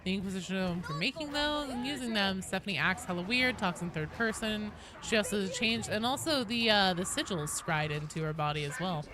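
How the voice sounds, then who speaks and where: A woman hosts a casual game livestream with clearly audible background noise. Her voice sits at an average pitch, and she talks in an irreverent, chatty way with some swearing. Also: lively intonation, fairly quick, hardly any pauses. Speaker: game streamer and host.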